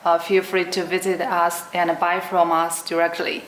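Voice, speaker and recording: A young woman giving an unscripted product demonstration in short, simple, halting phrases. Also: fairly low pitch, monotone. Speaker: product demonstrator for an electronics brand. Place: indoor room at a table with green backdrop. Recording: roomy echo, clearly noisy background.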